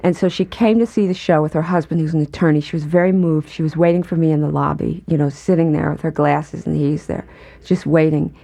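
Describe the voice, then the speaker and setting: A woman guest in an interview, talking thoughtfully and in a measured way about her work. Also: deep voice, fairly even intonation, creaky, dark tone. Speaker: guest, performer. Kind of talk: conversational interview.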